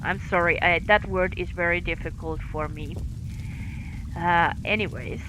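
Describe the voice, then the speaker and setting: A woman from Finland with a light Finnish accent, commentating her own live game stream, halting and filling gaps with fillers as she thinks aloud while playing. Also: lowish voice, steady tone, noisy background. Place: home in France.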